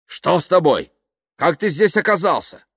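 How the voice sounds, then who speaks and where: A man's voice: low voice, sing-song, a little slow, no room sound, studio quality, exaggerated voice. A man voicing a patronizing, hot-tempered businessman in a story, sliding between condescension and outraged bluster. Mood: outraged yelling. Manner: smooth condescension breaking into outraged yelling.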